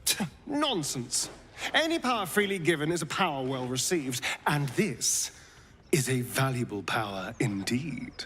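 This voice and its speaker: A man's voice: medium pitch, big pitch swings, a little slow, a bit bright, a little hiss or hum. A man playing an arch, power-hungry male adventurer in a fantasy video game, with a theatrical manner. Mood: arch, smug.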